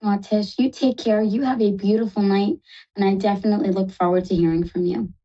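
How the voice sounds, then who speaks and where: New York woman, live psychic call-in host, quick and affectionate, soft dark voice. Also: medium pitch, steady tone, highs cut off. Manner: fast-talking, reassuring, affectionate.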